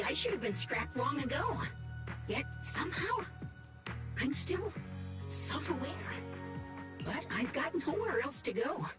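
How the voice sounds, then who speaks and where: A woman voicing a small, worn-out old combat robot for a video game, formal, earnest and resigned. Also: fairly high pitch. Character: battered old obsolete combat robot, small, melancholy and dutiful. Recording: music behind the voice.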